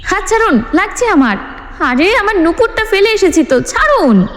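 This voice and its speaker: A woman's voice: very high-pitched, sing-song, moderate pace, pitched above the performer's own voice. A woman voicing a naive, indignant, tearful young woman in a dramatised story, in a plaintive questioning way. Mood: indignant protest. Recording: long hall reverb with music bed.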